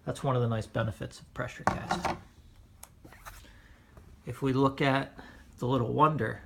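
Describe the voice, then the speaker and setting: A man hosting a casual hobby demonstration in his living room, explaining things in a measured, hesitant way. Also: mid-range voice, steady tone, vocal fry, heavy background noise. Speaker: host, record hobbyist. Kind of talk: casual demonstration of vintage records. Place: indoors, living room at home.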